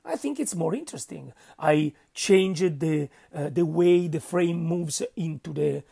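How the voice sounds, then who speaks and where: A man with an Italian accent narrating a painting tutorial, speaking haltingly and searching for words, with frequent checks of "okay?". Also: a little high, expressive, creaky, dark tone, breathy edge. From Italy. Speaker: miniature painting instructor.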